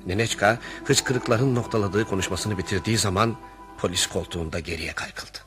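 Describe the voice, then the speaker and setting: An actor playing an earnest, anxious family friend who narrates and speaks in a radio play, with theatrical delivery. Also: male voice, fairly low pitch, normal speed, music in the background, close to an everyday voice. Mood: reflective, wry. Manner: theatrical, reflective storytelling, emphatic protests.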